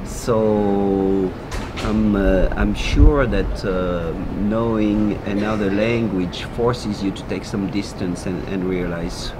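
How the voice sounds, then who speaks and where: A male teacher gives a measured, reflective answer. He speaks slowly with a low voice, and traffic noise is clearly audible behind him. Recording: noisy outdoor recording with traffic.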